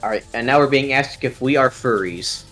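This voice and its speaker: A man's voice: medium pitch, normal speed, a bit bright, soft background music, low-quality recording. A young man with a nasal voice, chatting casually on a live stream, rambling with many ums and restarts. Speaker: stream co-host.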